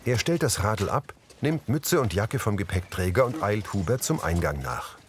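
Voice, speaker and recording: A man's voice: fairly low pitch, expressive, moderate pace, noisy background. A man reading scripted audio description that narrates on-screen action.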